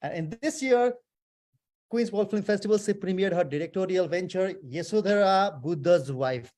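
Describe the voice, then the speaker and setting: A man's voice: high voice, normal speed, darkish tone. A man hosting a casual video interview, asking playful, teasing questions. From Nepal. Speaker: host.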